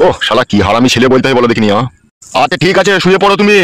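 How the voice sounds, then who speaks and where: A woman's voice: very deep voice, animated, rapid-fire, dry recording, clean studio sound, voice pushed down. A woman voicing a grumpy, cursing old father-in-law in a cartoon. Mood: grumbling. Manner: gruff and cursing. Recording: loud hissy noise behind.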